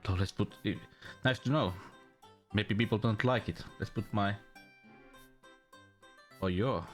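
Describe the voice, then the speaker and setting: A low-voiced man chatting as he plays, rambling and joking with himself. Faint game music plays softly behind him. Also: unhurried. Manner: rambling, playful self-talk. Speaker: streamer. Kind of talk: casual gameplay chat.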